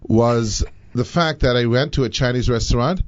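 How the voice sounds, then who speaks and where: A Bahamian man hosting a live talk show, chatting in an animated, rambling way and laughing easily. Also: mid-range voice, moderate pace, dry recording, muffled recording.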